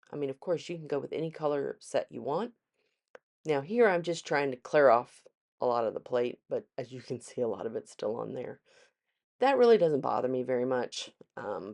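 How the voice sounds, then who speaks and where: Craft vlog host, a woman with a stuffy nose, very low and gravelly, easygoing tutorial voiceover. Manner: relaxed, chatty, frequent ums. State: congested. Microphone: voiceover mic.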